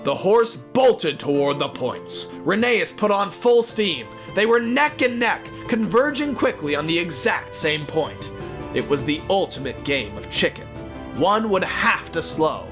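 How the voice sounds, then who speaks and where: A man's voice: high voice, sing-song, measured pace. A man narrating a scripted story in a suspenseful, theatrical way. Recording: music behind the voice.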